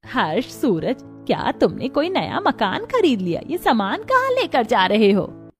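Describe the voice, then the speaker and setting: A woman's voice: fairly high pitch, huge pitch swings, unhurried, faint music behind. A woman voicing a household woman in an acted family cartoon story. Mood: questioning, indignant. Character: women of a cartoon family, mother-in-law and daughter-in-law.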